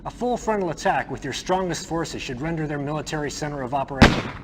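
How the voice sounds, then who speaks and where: An actor playing a scheming, ambitious military lieutenant in a video game cutscene, smooth, flattering and conspiratorial. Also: male voice, fairly high pitch, quick pace, natural voice. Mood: scheming, eager.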